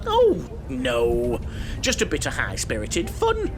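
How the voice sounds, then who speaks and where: A man's voice: wildly swooping pitch, slow pace. An actor playing a naive, over-friendly, lonely mother's boy with a Northern English accent in an audio play, wittering away in a homely manner. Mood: cheery, chatty. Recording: noisy, vehicle rumble behind.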